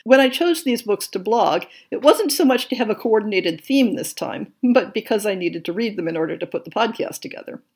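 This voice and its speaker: A woman hosting a podcast, reading a scripted monthly update in a measured, enthusiastic way. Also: mid-range voice, lively intonation, a little mellow, slightly rough. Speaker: podcast host.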